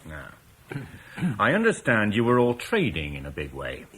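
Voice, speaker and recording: A blunt, commanding war leader. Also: male voice, fairly low pitch, a little slow, slightly rough, heavily acted. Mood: stern bargaining. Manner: firm, businesslike, animated. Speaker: military commander. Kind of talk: radio drama acting.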